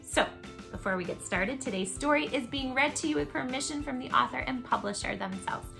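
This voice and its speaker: A woman's voice: high-pitched, fairly quick, vocal fry, over music. A woman hosting a children's read-aloud, speaking affectionately and expressively straight to young listeners with friendly asides. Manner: affectionate, encouraging, addresses young listeners directly; expressive, emphatic delivery. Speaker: host reading children's picture books aloud.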